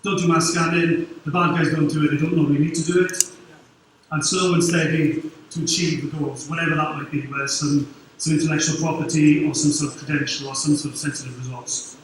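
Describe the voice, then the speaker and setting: A middle-aged man from Wales with a Welsh accent, giving a quick, informal conference talk with dry humour from a lectern. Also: fairly high pitch, fairly even intonation, slight background noise. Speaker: conference speaker. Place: large dark conference hall. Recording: very echoey hall, distant mic.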